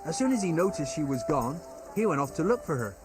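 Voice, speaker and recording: An actor playing a grumbling but obliging medieval innkeeper in a video game, folksy and gossipy. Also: male voice, fairly high pitch, sing-song, fast talker, music in the background. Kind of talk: naturalistic video game acting.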